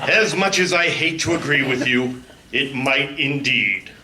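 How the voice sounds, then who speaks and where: A man's voice: medium pitch, a little slow, very noisy, close to an everyday voice. A man playing a haughty, scolding adventurer with pompous, precise diction in a live radio play. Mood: scornful. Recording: echoey live stage.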